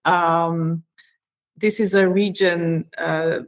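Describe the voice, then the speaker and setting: Lower-pitched woman. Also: fairly even intonation, extremely slow. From Romania. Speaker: podcast guest, regional government EU funding professional. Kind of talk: conversational interview answers.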